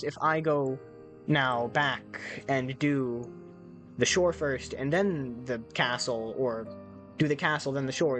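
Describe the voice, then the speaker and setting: A man giving casual let's play commentary, excitable, swearing and stumbling over his words. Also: mid-range voice. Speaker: gamer.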